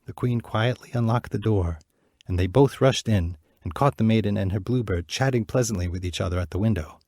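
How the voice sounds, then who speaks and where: A man narrating a fairy tale in a measured, clear reading voice. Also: fairly low pitch, dark tone, dry recording. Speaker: storyteller.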